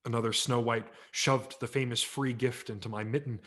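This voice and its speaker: A man narrating a humorous story aloud in a wry, expressive reading style for a virtual event. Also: mid-range voice, moderate pace. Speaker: audiobook narrator. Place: home setup for a virtual event.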